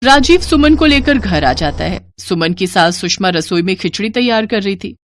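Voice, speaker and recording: A woman's voice: medium pitch, expressive, moderate pace. A woman narrator reading a scripted moral story.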